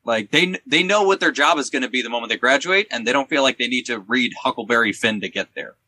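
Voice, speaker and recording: A man with a bright, sharp-edged voice at average pitch. He talks as a teacher in a loose podcast conversation, telling anecdotes in halting bursts. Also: lively intonation. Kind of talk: casual podcast storytelling.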